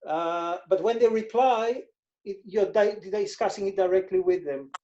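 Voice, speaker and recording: A man's voice: high-pitched, moderate pace, warm tone, dull audio. A man from Israel with an Israeli accent, a financial advisor explaining his methods in a casual interview and repeating words for emphasis.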